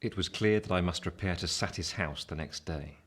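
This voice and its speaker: A man acting a reflective gentleman who narrates his own youth in a radio drama, measured and literary. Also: sits low. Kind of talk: scripted first-person narration, radio drama.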